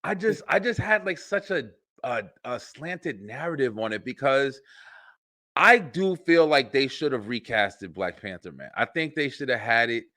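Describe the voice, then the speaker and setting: A man's voice: mid-range voice, lively intonation, unhurried, bright, forceful tone, dry recording, studio quality. A man hosting a podcast, talking in a loose, slangy, rambling way in casual conversation. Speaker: podcast host. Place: works from home.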